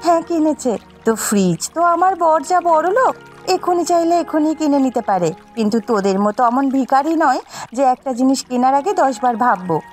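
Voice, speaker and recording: A woman voicing a quarrelsome, sharp-tongued village sister in a cartoon. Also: high voice, expressive, a little fast, soft background music. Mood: taunting, indignant. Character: quarrelsome, envious village sisters trading taunts. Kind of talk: Bengali cartoon dialogue dubbing.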